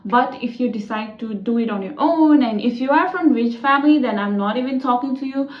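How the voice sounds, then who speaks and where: A young woman with a Nepali accent talks casually to her viewers, stringing thoughts together with plenty of "like" and "you know". Her voice sits a little high. The recording is roomy and slightly dull, as if made in an echoey room on modest gear. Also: fairly quick. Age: young adult. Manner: chatty, loose, heavy on "like" and "you know" fillers. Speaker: international student vlogger. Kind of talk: casual explainer vlog.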